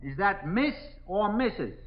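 Police sergeant, 1950s radio drama: raised high voice, plain-spoken, reassuring and firm, slightly muffled vintage sound. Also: male voice, big pitch swings, slow, heavily acted. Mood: patient, questioning. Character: steady, reassuring police sergeant calling to trapped woman. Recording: dull 1950s radio recording.

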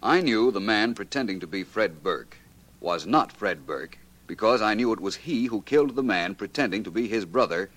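A low-pitched American detective, shrewd and sure of himself, with lively swings of intonation. He lays things out deliberately and wryly in classic 1940s radio drama style. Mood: confident explaining. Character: shrewd, self-assured male detective. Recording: vintage 1940s radio recording.

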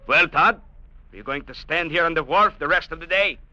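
An actor playing a domineering, sarcastic colonial trading captain in an old radio drama. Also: male voice, a little high, a little fast, pushed and bright, dry recording. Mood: bullying, irritated. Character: sneering, bullying colonial trading agent captain, a man. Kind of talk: 1940s-50s radio drama acting. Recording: muffled, hissy old radio broadcast.

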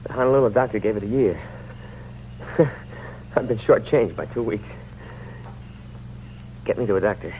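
A man's voice: fairly low pitch, normal speed, long pauses, warm tone, natural voice. A man acting a sardonic, fatalistic heir who taunts and goads in theatrical 1940s radio drama style. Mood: weak, mocking. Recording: muffled old radio recording, faint noise.

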